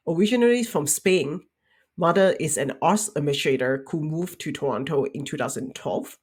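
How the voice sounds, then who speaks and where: A young adult woman hosting a career podcast, speaking in an earnest, measured and reflective way. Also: low-pitched, breathy edge. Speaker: podcast host. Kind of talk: podcast intro and interview.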